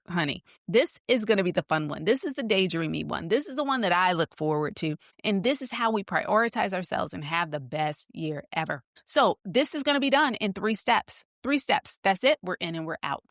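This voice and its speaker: A woman's voice: medium pitch, a bit bright, dry recording, studio quality. A woman in her fifties hosting a motivational podcast, upbeat and coaching, speaking straight to her listeners in a friendly, familiar way. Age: 50s. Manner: upbeat, coaching, talks straight to listeners with "sis", "honey", "y'all". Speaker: podcast host.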